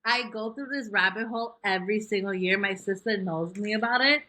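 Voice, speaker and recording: A woman co-hosting a casual podcast chat, talking in a relaxed, slangy way and tossing in dry quips. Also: mid-range voice, brisk. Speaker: podcast co-host.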